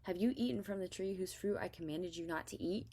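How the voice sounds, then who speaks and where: A stern, commanding God of scripture, read aloud by a woman, pronouncing with solemn emphasis. The voice is low, with a creaky edge. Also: a little fast, dark tone. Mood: stern questioning.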